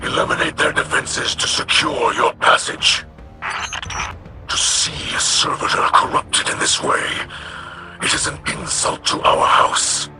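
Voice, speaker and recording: A man voicing a proud alien warrior-noble in a video game, formal and solemn, with a breathy, hoarse rasp. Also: on the high side, fairly even intonation, rough recording. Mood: stern, indignant. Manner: formal, solemn, measured diction. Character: grave, proud male alien warrior-noble of a fallen house. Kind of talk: scripted video game voice acting. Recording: game music behind the voice.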